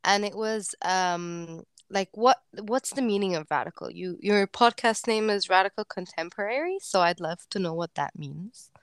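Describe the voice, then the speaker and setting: A woman asks friendly, chatty questions, padding her speech with 'like' and 'um'. Her voice is smooth and clear, and the recording is dry and close. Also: mid-range voice, moderate pace, few pauses, dry recording. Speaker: interviewer. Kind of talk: casual interview talk.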